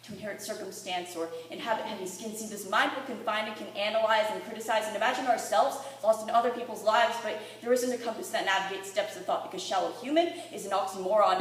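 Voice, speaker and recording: A teenage girl performing spoken-word poetry with earnest, dramatic, rhythmic delivery. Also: medium pitch. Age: teens, fifteen or sixteen. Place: library room with a live audience. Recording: very echoey room, distant mic, faint noise.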